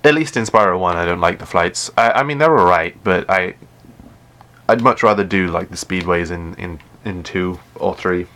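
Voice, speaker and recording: A man with a deep voice chatting casually while playing a game live, often stumbling and repeating words. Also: moderate pace, sharp tone. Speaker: gaming live streamer.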